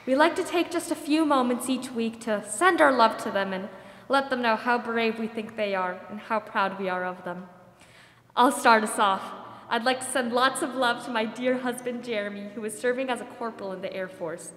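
A woman's voice: on the high side, moderate pace, a bit bright, close to an everyday voice. A young woman acting a perky, sentimental wartime hostess in 1940s radio style. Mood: wistful, fond. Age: young adult. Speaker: radio show host. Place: theater stage, standing mic. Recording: echoey theater hall.

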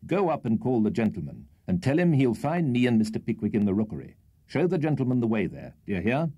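Hearty old English country host played by a male reader, brisk, bossy, jovial storybook figure, lively intonation. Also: mid-range voice, slightly rough, no room sound, studio quality, voice pushed up. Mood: commanding.

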